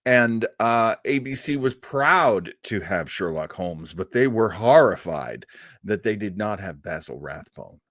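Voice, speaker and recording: An animated man hosts a casual livestream in a chatty, rambling fan style. His pitch moves up and down a lot, and the sound is close and dry. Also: measured pace, no echo. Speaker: host of an old-time radio show. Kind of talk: casual livestream chat with audience.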